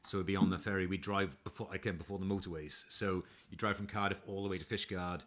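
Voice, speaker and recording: A man from Wales, a guest singer, chatting casually between songs, relaxed and trailing off with "you know". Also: sits low, fast.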